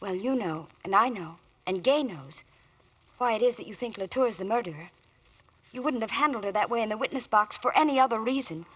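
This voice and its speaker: A woman's voice: fairly high pitch, lively intonation, fairly quick. An actress playing a frank, worried woman speaking earnestly in an old radio drama. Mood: reproachful. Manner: earnest, candid. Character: frank, worried female friend of the barrister. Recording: dull vintage radio recording.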